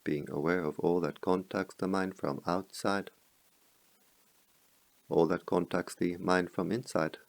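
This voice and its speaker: A man leading a guided meditation as a teacher, speaking in slow, measured phrases with pauses between them. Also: low-pitched, fairly even intonation, vocal fry, dry recording.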